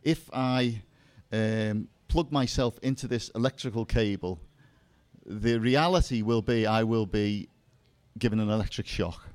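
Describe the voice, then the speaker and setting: A man's voice: fairly low pitch, measured pace, darkish tone. A man with a Liverpool accent giving a seminar talk on faith to a live audience, with self-deprecating jokes and asides. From England. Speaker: seminar speaker.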